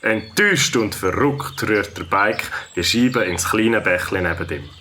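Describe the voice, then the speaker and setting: A man with a Swiss German accent narrating a children's story in an expressive storytelling way. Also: on the low side, a little slow, a little hiss or hum.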